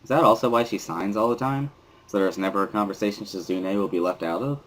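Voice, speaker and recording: A man's voice: lowish voice, quick pace. A man reading first-person scripted narration from a visual novel as a dramatic reading.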